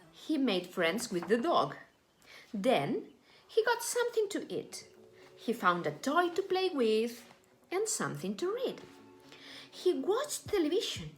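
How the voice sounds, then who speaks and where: A woman narrates a children's storybook in a fairly high voice that rises and falls dramatically. She takes her time over each phrase, with faint music underneath. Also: low-quality recording. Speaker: storybook reader. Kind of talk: expressive read-aloud children's story narration.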